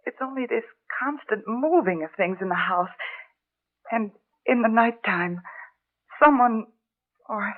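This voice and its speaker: An actress playing a polite, sensible wife in an old radio horror drama, measured and gently hesitant. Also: female voice, a little high. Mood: uneasy. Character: polite, sensible young wife in haunted house. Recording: thin, phone-like old radio recording.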